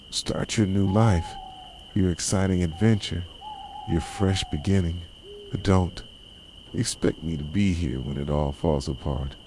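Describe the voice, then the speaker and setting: A man playing a possessive, jilted boyfriend in an ASMR roleplay, speaking softly with bitter, deliberate intensity. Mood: cold, resentful. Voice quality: soft, low voice. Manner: intense, deliberate, reproachful dramatic delivery. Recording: clearly noisy, night insects and owl hoots.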